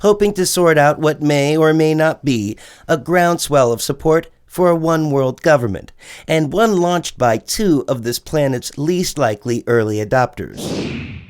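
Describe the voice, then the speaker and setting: An actor playing a serious news anchor in an audio drama, reading formal, measured and urgent scripted news. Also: male voice, a little high, lively intonation, smooth. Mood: grave, urgent.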